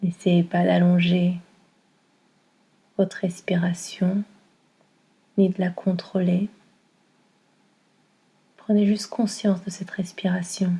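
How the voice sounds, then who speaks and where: A woman leads a guided relaxation very slowly and calmly. Her voice is soft and mellow, with an even, barely moving melody. She soothes as a yoga nidra guide, giving gentle instructions. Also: fairly low pitch, poor audio. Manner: calm, soothing, with soft breathy delivery. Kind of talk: guided meditation.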